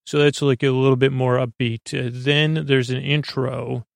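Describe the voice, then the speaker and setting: Creaky-voiced man rambling at bedtime. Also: mid-range voice, flat delivery, no room sound. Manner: meandering, halting, tangential. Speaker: podcast host.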